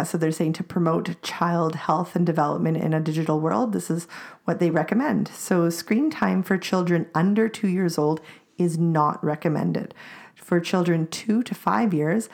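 A woman in her forties co-hosting a parenting podcast, reading out guidelines and research in a steady, informative way. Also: lowish voice, vocal fry. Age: 40s. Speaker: podcast co-host.

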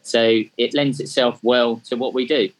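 A man's voice: medium pitch, fairly even intonation, normal speed. A man in his fifties from England, with an English accent that has a slight Australian tinge, chatting as an interview guest in a self-deprecating way with frequent ums. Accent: English, with some Australian tinge. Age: 50s. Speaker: guest, charity track day organiser. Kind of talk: casual interview talk.

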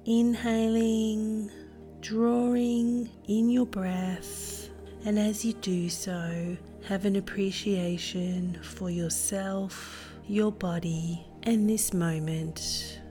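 A woman narrating a guided meditation in a gentle, soothing manner with deliberate phrasing. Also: medium pitch, flat delivery, faint music behind. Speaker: meditation guide and podcast host.

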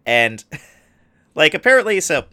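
A man's voice: a little high, very animated, a little slow, pushed and bright, smooth. A man co-hosting a podcast in casual chat, teasing and wry, often laughing. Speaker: podcast co-host.

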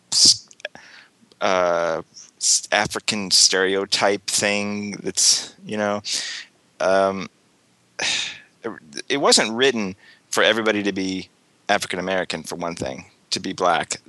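A man hosting a casual discussion podcast, a little hoarse from a cold and sometimes searching for words. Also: low-pitched, bright, forceful tone, dry recording, low-quality recording. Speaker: podcast host.

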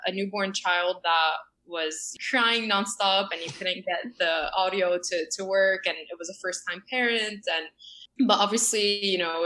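A woman's voice: on the low side, pushed and bright. A young woman who grew up in Syria, talking as a guest in an interview, with a rambling manner that often corrects itself. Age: young adult. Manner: rambling, self-correcting storyteller. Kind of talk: conversational interview.

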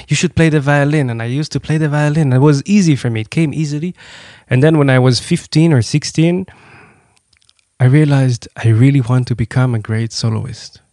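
A man's voice: mid-range voice, dark tone, no room sound, clean studio sound. A man hosting a podcast, speaking in a personal, storytelling style. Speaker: podcast host; violinist. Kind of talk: personal narration and conversation.

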